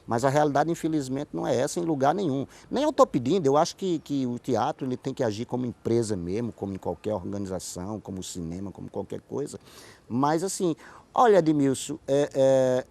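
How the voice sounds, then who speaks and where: A man's voice: mid-range voice, animated, fairly quick, vocal fry, darkish tone. A man with a Brazilian Northeastern accent explaining his views emphatically in a conversational interview, often checking that he is understood. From Pombal, Paraíba, Brazil. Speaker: interview guest, playwright and theater director. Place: outdoor hotel terrace.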